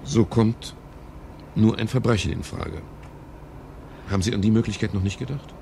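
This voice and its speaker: An actor playing a wry, world-weary private detective in a radio crime drama, with a gravelly voice and dry, unhurried manner. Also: male voice, lowish voice, warm tone, a little hiss or hum. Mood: wry, coaxing. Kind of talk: 1970s German radio crime drama acting and narration.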